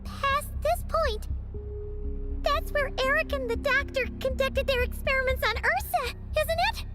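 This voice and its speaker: A tiny, curious companion sprite for an anime game. The voice is extremely high, squeaky and childlike, drawn out at a slow pace, with loud music behind it. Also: female voice. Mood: curious, startled. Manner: squeaky, inquisitive. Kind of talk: anime video game voice acting.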